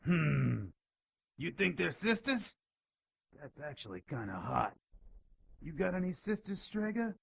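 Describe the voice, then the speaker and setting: Cocky demon snitch for radio play: bright, rough-edged, sleazy, indignant wisecracker. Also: male voice, a little high, big pitch swings, a little slow, pauses often, exaggerated voice. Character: whiny, cocky, wisecracking male demon informant. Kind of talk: audio drama acting.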